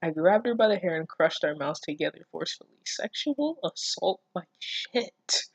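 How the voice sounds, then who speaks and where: A casual online reader with a mid-range voice, recorded close and dry. The reading slips often into expressive, incredulous commentary, with plenty of swearing. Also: medium pitch, moderate pace, gravelly, dry recording, low-quality recording. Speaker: online reader reacting to fan fiction. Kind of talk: casual read-aloud with running commentary.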